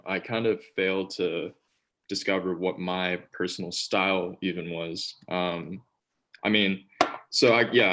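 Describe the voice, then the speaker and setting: A man's voice: low voice, fairly bright tone. A young man in his twenties, a student, hesitantly asking a question on a video call with many fillers.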